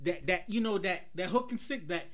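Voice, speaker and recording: A young man hosting a casual group chat, animated and asking the panel questions. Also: high-pitched, a little fast. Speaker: podcast host.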